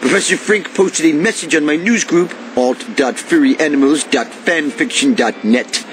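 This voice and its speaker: A man voicing a pompous, pedantic, sarcastic nerd in an animated video game. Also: fairly high pitch, moderate pace, slight background noise, close to an everyday voice. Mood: disdainful. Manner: pedantic, haughty. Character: pompous, sarcastic adult male comic-book nerd.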